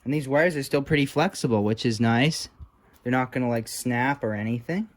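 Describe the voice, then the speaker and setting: Male electronics hobbyist, medium pitch, unscripted and halting, muttering through his work as he goes. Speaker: hobbyist restoring old electronics. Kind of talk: casual unscripted talk while working on a repair.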